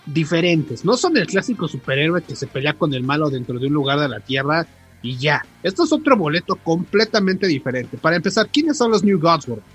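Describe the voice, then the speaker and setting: A man's voice: a little high, sing-song, a little fast. A Mexican man hosting a podcast, chatting casually with slangy, profane, joking banter. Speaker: podcast host. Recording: podcast with music playing.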